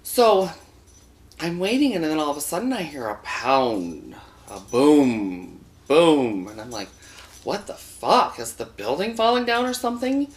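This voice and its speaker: A man's voice: on the high side, big pitch swings, a little slow. A man hosting a comic storytelling show from a studio, telling a bawdy tale in a campy, sassy way and addressing viewers as boys and girls.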